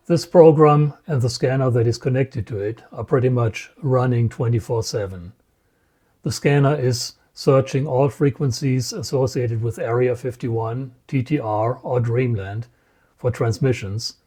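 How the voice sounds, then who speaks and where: A man presents a technical demonstration in a soft, mellow voice. His delivery is measured and matter-of-fact, explaining things as scripted narration at an average pitch. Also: slightly rough. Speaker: presenter, radio scanning enthusiast.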